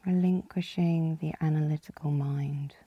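A woman leading a guided yoga nidra relaxation as the guide, calm and soothing, with a soft, breathy, husky voice. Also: low voice, moderate pace, no room sound, highs cut off. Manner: calm, soothing, gently instructing.

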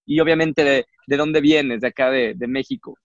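A man's voice: medium pitch, moderate pace, no echo. A man interviewing a guest, asking questions and reacting with short replies. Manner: brief reactive prompts. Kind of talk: casual interview chat. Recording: dry, dull audio.

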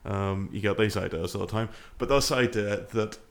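A man talks in a loose, rambling way, musing aloud and trailing off mid-thought. His voice sits low with a gravelly edge. It is a casual podcast chat recorded in a studio. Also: normal speed. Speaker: podcast co-host.

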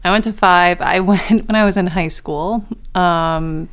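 A woman's voice: on the low side, unhurried, a bit bright. A young woman in her twenties, a podcast co-host, chatting casually and laughing often as she talks. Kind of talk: casual chat.

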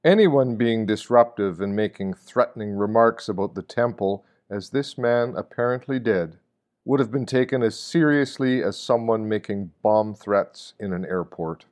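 Man, lowish pitch, scripted reflective reading, measured phrasing, deliberate pauses. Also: a little mellow. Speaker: reader of meditations.

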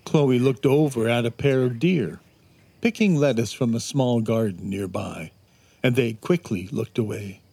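A man narrating a scripted children's adventure story in a measured, dramatic storytelling manner. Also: medium pitch, gravelly, mellow, breathy edge, no room sound. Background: instrumental music.

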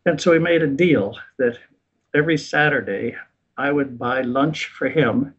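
An older man in a casual interview, recounting his experiences in a relaxed, self-deprecating way. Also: mid-range voice, a little slow, breathy edge. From United States. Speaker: guest. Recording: dull lo-fi recording.

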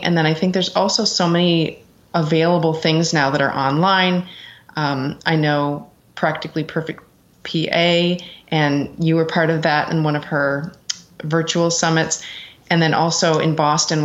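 A woman's voice: low-pitched, flat delivery, unhurried. A middle-aged American woman giving earnest, reflective answers as an interview guest in a conversational way. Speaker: interview guest, executive assistant. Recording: dull, lo-fi sound.

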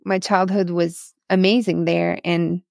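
A woman's voice: lowish voice, level tone, a little slow, gravelly, darkish tone, dry recording, studio quality. A young woman from Ukraine, speaking English with a Ukrainian accent and Russian as her first language, earnestly recalling her past as an interview guest. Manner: earnest, reminiscing, plain non-native phrasing. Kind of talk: personal testimony interview.

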